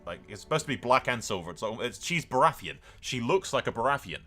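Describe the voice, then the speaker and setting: A man hosts a gaming video in a bright, forceful voice at an average pitch. His commentary is casual and rambling, irreverent and peppered with swearing. Faint music plays behind him. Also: expressive, a little fast. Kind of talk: casual game playthrough commentary.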